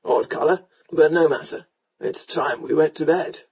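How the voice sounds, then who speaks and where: A woman voicing a drunken, roguish Regency gentleman in an audiobook, with a slurred, rambling, drawling delivery. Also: a little high, steady tone, normal speed, slightly rough, highs cut off, pitched below the performer's own voice. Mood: tipsy, amused.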